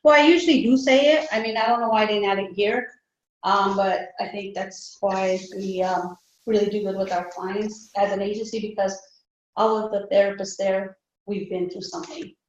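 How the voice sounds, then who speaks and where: A woman's voice: mid-range voice, fairly even intonation, moderate pace. A native Spanish-speaking woman with a Spanish accent, hesitantly practicing her introduction as a workshop participant. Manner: hesitant, apologetic. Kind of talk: practicing a spoken self-introduction. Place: indoor echoey room. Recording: roomy, distant, dull microphone.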